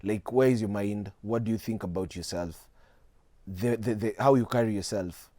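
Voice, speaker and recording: A man's voice: fairly low pitch, dark tone, dry recording. A nineteen-year-old man with a Kenyan accent, a guest speaking earnestly and steadily in a studio interview. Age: teens. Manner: earnest, measured, mixing in Swahili slang.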